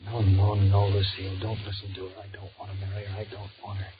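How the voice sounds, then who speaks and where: A man's voice: on the low side, monotone, fast, music in the background, close to an everyday voice. An actor in a 1940s radio drama playing an anguished, weak married man, pleading and repeating himself with stammers. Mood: begging. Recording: muffled, noisy 1940s radio recording.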